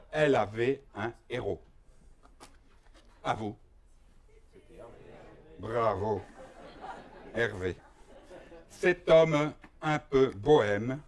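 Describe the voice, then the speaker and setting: A man from Belgium performing a comic stage monologue in a mock-serious, deliberate way, with pauses for effect. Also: medium pitch. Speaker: stand-up performer.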